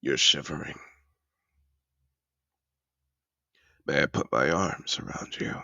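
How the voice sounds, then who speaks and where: A man playing a seductive, possessive dragon disguised as a wealthy patron, speaking in a courtly, deliberate, intimate way for a fantasy audio roleplay. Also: pushed and bright, no room sound, dull audio, heavily acted. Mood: tender, seductive. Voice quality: deep gravelly voice with breathy murmurs.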